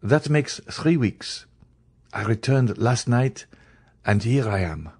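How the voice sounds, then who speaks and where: Audiobook role: earnest young journalist , read by a man, grave and compassionate, formal, deliberate phrasing, loyal friend. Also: mid-range voice, lively intonation, darkish tone, husky, no room sound, exaggerated voice. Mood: earnest, insistent. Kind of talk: dramatised audiobook reading.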